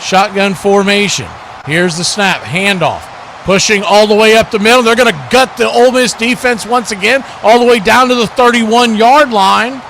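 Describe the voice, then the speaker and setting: A man calling American football play-by-play live on a stream, excitable and speaking in punchy, clipped phrases. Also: sits high, moderate pace, slight background noise. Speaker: play-by-play commentator on a livestream watch party.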